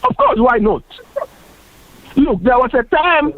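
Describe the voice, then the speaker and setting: Ghanaian man, animated, tinny radio sound. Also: very high voice, slow pace, no room sound. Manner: earnest, emphatic, repeats himself. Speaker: panel guest. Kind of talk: radio panel discussion.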